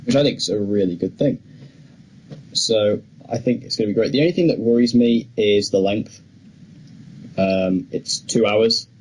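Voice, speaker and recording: A man's voice: on the low side, normal speed, darkish tone, low-quality recording. A young man co-hosting a podcast, talking casually and chattily about films with firm opinions. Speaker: podcast co-host. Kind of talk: casual film discussion.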